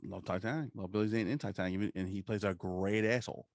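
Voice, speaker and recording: A man's voice: fairly low pitch, steady tone, fast talker, no echo, studio quality. A man co-hosting a podcast, chatting casually in a profane, opinionated fan's banter. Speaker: podcast co-host. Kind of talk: casual pop-culture chat.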